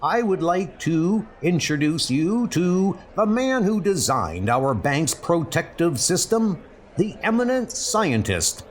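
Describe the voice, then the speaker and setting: Man doing a higher-pitched, pompous bank president. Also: big pitch swings, slow pace. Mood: proud. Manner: formal, speechifying. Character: self-important, proud male bank president.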